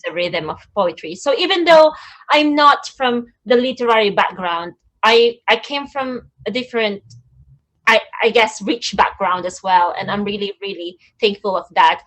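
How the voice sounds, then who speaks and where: Soft-spoken woman on a video call. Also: mid-range voice, normal speed, rough recording. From Philippines. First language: Tagalog. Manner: soft-spoken, searching, with frequent ums. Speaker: guest poet. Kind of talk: interview answers.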